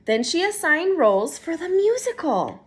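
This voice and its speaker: A woman reading a children's picture book aloud in an expressive, dramatic, teacher-like way. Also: very high-pitched, normal speed.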